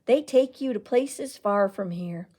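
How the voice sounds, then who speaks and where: Woman playing a feisty, folksy old aunt, very animated. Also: medium pitch, measured pace. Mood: earnest, wistful. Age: older. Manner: folksy, emphatic, scolding and tender by turns. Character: feisty, indignant, wistful old aunt who loves books.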